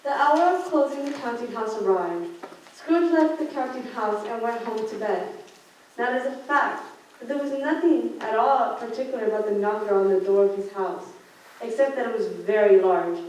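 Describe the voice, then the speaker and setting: A woman's voice: a little high, moderate pace, darkish tone, natural voice. A young woman student reading scripted story narration for a radio play. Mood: narrating. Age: teenager or young adult. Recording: echoey room, far mic, background noise.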